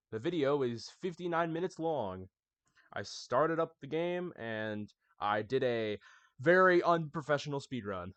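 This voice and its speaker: A man's voice: medium pitch, clear, resonant tone, dry recording, lo-fi sound. A young man hosting his gaming channel, recapping it in a casual, chatty way and talking straight to his viewers. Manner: chatty, addresses viewers as y'all. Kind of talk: casual scripted anniversary recap.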